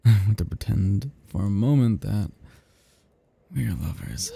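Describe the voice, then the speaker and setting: A man's voice: on the low side, dark tone, a little hiss or hum, heavily acted. A man playing a melancholy, possessive vampire in an ASMR roleplay, murmuring intimately and often dropping into whispers. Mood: seductive, intimate. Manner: slow, intimate, breathy murmuring that drops into whispers. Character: seductive, melancholy, possessive male vampire, self-loathing immortal predator.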